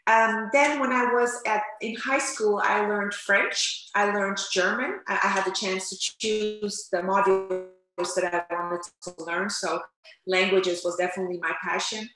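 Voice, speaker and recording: A woman raised in the Netherlands, answering interview questions in an animated, storytelling way with frequent fillers. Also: mid-range voice, a little slow. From Turkey, raised in the Netherlands. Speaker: interpreter and language coach guest. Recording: echoey room.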